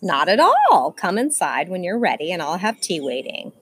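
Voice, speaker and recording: A woman playing a hospitable but creepy old schoolteacher in an amateur audio drama, with a theatrical, drawn-out delivery. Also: fairly low pitch, huge pitch swings, heavy background noise. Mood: inviting.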